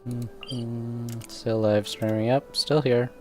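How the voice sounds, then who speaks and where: A man's voice: medium pitch, flat delivery, slow pace, mellow, soft background music. A man livestreaming a game, rambling casually and muttering to himself as he plays. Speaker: livestreamer, gamer. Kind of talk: casual livestream gaming chat. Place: indoors at a computer.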